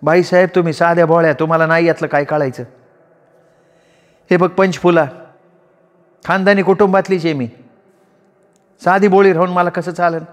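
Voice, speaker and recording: Cunning young woman snake-catcher read by a man, raised pitch, frank and assured. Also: fairly even intonation, moderate pace, long pauses, natural voice.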